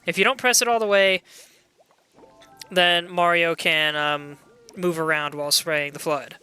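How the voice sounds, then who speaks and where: A young adult game streamer with a loud voice, chatting casually and excitably while playing and greeting viewers. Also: mid-range voice, measured pace, smooth, soft background music. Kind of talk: casual live gameplay chat.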